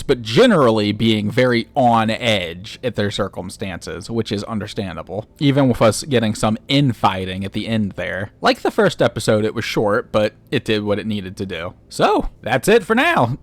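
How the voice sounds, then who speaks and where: A man's voice: medium pitch, no room sound. A man hosting a video essay, recapping and commenting on a horror series.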